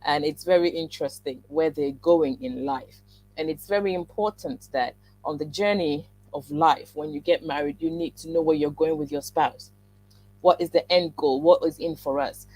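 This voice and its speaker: A woman's voice: deep voice, normal speed, darkish tone, rough recording. A woman hosting a relationship talk show, interviewing a married couple and giving reflective marriage advice. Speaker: host. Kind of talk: interview chat.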